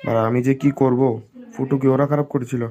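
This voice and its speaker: A man's voice: mid-range voice, flat delivery, fast, mellow, lightly acted. A man voicing a grumpy, foul-mouthed older village father in a comic cartoon. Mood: grumbling. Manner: grumbling, crude.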